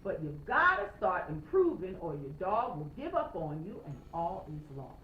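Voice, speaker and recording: A woman voicing a stern but caring old Scottish sheepdog trainer who gives measured, emphatic instruction. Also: lowish voice, sing-song, fairly bright tone. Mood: firm, urging. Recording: roomy, slightly noisy, dull home recording.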